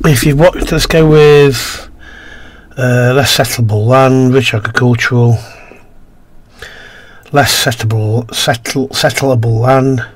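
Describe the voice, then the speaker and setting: A man with a croaky, slightly coughing throat, casually commentating a game, reading on-screen text aloud and muttering to himself as he plays. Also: mid-range voice, steady tone, slow, long pauses. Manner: mumbles to himself while playing, reads game text aloud. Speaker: gaming video host. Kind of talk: casual let's-play commentary.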